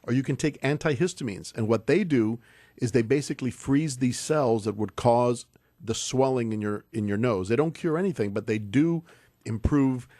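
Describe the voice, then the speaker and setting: A man's voice: medium pitch, normal speed, mellow, no echo. A man from Cuba, a doctor co-hosting a casual radio chat, explaining things emphatically into a studio mic. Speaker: doctor and co-host.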